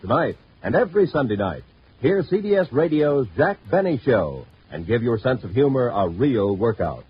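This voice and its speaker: Animated American vintage radio announcer man. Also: medium pitch, moderate pace, breathy edge. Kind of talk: 1950s network radio announcing and promo. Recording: muffled, noisy vintage radio recording.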